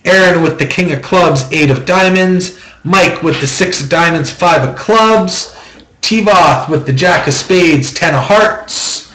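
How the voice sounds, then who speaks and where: A man with a slightly high voice hosts a card draw at a tabletop. He takes his time, announcing each step in a methodical, repetitive pattern. The small room around him adds an audible echo. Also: a little slow, fairly bright tone. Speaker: host of a trading-card box-break auction. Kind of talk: running card-deal commentary. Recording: roomy echo.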